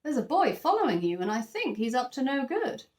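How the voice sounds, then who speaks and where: A woman's voice: high voice, sing-song, brisk, a little mellow, pitched above the performer's own voice. A woman voicing a friendly, helpful fisherman with an emphatic manner in a children's storybook. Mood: confiding warning.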